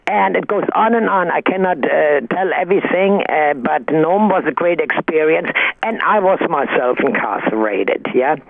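A woman calling in to a radio show, talking in a rambling, emphatic way with a loud voice. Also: deep voice, expressive, normal speed, creaky, few pauses, no room sound. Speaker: caller. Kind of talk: call-in radio comment. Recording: tinny phone line.

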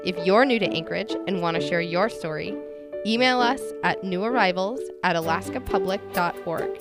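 Measured woman hosting a radio feature. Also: fairly low pitch, over loud music. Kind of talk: scripted public radio narration.

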